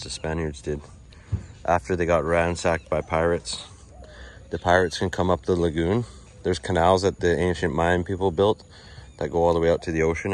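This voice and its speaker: Low-voiced man chatting on a walking tour. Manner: relaxed, chatty, thinking aloud with fillers. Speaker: travel vlogger. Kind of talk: casual walking tour narration. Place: outdoors at an old stone fort.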